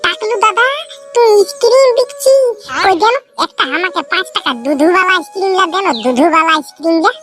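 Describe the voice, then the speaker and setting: An actor voicing a cheeky village scrounger in a comedy cartoon, wheedling and nagging in a rural Purulia Bengali accent. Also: male voice, extremely high pitch, fairly quick. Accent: rural Purulia, West Bengal. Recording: music behind the voice.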